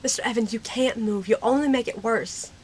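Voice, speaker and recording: A woman's voice: a little high, fairly quick, a little hiss or hum, lightly acted. A woman playing a stubborn, outspoken sixteen-year-old girl with folksy Western phrasing in an amateur audio drama. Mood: urgent.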